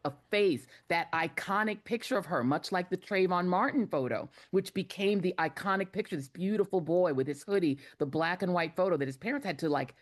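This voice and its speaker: A woman giving political commentary on a panel, speaking in a measured, emphatic way. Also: sits low. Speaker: guest commentator.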